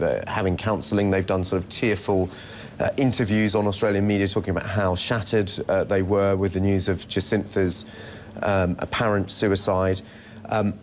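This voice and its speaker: Deep-voiced, halting male news correspondent. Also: slight background noise. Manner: hesitant, halting, explanatory. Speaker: senior international news correspondent. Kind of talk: unscripted live news analysis. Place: television news studio.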